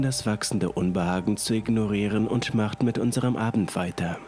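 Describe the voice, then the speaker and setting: A man's voice: on the low side, darkish tone. A young man reading a scripted first-person horror story as narrator. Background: music.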